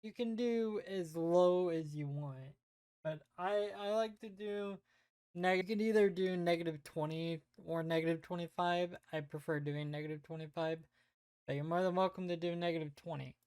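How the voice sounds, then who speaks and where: A woman giving a casual, unscripted software tutorial, hesitantly thinking aloud as she goes. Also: fairly low pitch, fairly even intonation, moderate pace, clean tone, dry recording. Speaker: tutorial presenter.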